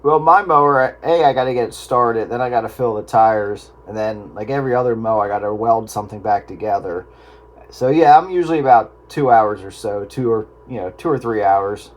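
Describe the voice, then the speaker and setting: A man chatting casually on a two-way radio, in a halting, folksy way. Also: fairly low pitch. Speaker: radio hobbyist on a group channel. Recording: noisy two-way radio transmission.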